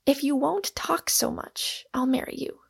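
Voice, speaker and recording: Woman acting a high-pitched, earnest young lady. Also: a little slow. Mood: soft. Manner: emphatic and earnest. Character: earnest, stout-hearted young lady, sensible and sharp.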